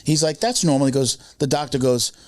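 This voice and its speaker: A man's voice: medium pitch, brisk, dry recording. A man in his fifties, a podcast co-host chatting casually, rambling with many fillers and restarts. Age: 50s. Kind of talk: casual chat.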